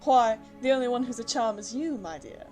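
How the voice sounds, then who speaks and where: A woman playing a doting, pompous gentleman suitor in a period audio drama, with gallant, flowery and fussy delivery. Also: fairly high pitch, brisk, over music, close to an everyday voice. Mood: gallant flattery. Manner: flowery, gallant, fussy, stammers when flustered.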